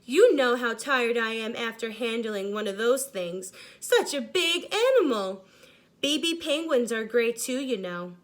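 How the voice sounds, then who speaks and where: A woman's voice: fairly high pitch, expressive, unhurried. A woman voicing a tired, overworked storybook crayon who complains with exasperated emphasis. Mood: exasperated, weary.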